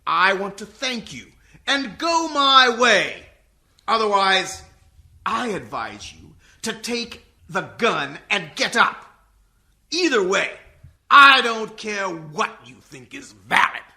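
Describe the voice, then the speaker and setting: A man's voice: high-pitched, sing-song, slow pace, vocal fry, full character voice. A man playing a righteous, contemptuous military colonel in an amateur radio comedy, haranguing with heavy emphasis. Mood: righteous, haughty. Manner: haranguing, emphatic, swings into shouting. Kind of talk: amateur radio comedy parody of courtroom film drama.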